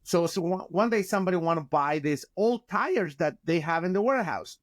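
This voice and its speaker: Animated man with a Chilean accent. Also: sits high, a little fast. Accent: Chilean Spanish. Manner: animated, gesturing with hands, frequent restarts. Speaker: guest, company founder. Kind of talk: casual interview storytelling. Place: office with bookshelves, indoors. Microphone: video call.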